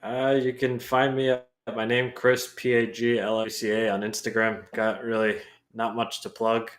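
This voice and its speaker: A man's voice: mid-range voice, little pitch movement, moderate pace. A man from New York with a Brooklyn accent, talking as a guest in a casual chat, laid-back and plainspoken. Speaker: grappling guest analyst.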